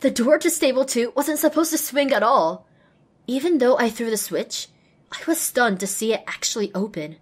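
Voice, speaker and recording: A woman's voice: on the high side, lively intonation, moderate pace, husky. A woman voicing a young, determined and wry female pony who narrates her own adventure in an audio drama. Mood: wounded, determined. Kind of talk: scripted first-person narration in audio drama.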